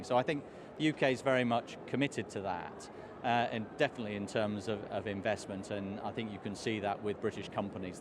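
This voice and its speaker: A hoarse man, a trade commissioner, answering interview questions in a measured way with many fillers. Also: fairly low pitch. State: hoarse, losing his voice. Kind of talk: live outdoor interview answers. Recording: street noise behind.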